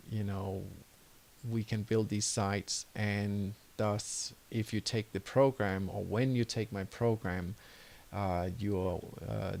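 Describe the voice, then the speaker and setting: A man with a Danish accent talks a viewer through building a website, stopping to fix his own slips and starting over. His voice sits fairly low and has a gravelly edge. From Denmark. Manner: loose, self-correcting, apologizes for slips. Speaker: web design course instructor. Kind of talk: screen-recorded tutorial and course pitch.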